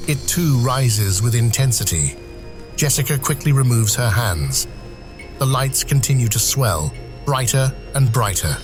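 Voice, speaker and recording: Male narrator over prominent music. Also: medium pitch. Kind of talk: dramatic scripted narration.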